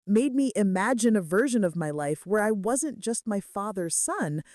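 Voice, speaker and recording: Woman voicing a brooding, intense mafia boss, lively pitch. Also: normal speed, no room sound, studio quality, lightly acted. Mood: tender, confessional. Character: brooding, remorseful young male mafia boss confessing his love. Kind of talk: romance audiobook dialogue.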